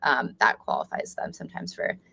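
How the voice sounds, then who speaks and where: An American woman with a distinctly low voice hosts an interview as a reporter. She speaks conversationally and informally, agreeing with her guest as she moves between questions. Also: very animated, fairly quick, creaky, pushed and bright, husky.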